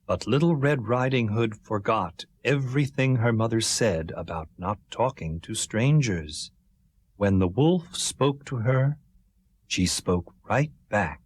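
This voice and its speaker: A man with a husky voice narrating a scripted children's story in a rhythmic way. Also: lowish voice, expressive, takes it slowly, dry recording. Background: faint music.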